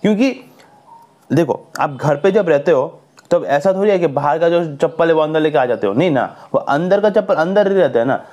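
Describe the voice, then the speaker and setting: A man's voice: a little high, fairly quick. A young man in his twenties talking to camera on a lapel mic in a small indoor room, giving earnest, scolding fitness advice. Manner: earnest, lively hand gestures, scolding advice. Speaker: fitness vlogger. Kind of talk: casual talk to camera.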